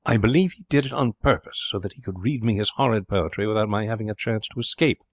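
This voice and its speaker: A man's voice: fairly low pitch, quick pace, no room sound, studio quality, natural voice. A man voicing a spirited, petulant young woman in a storybook, with an emphatic, gushing manner. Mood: exasperated, dramatic.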